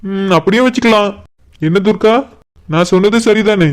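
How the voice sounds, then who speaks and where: A polite young village man from a cartoon voiced by a woman speaks in a clear, smooth voice at a medium female pitch. He is soft-mannered and agreeable. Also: moderate pace, close to an everyday voice. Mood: coy. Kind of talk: cartoon drama dialogue.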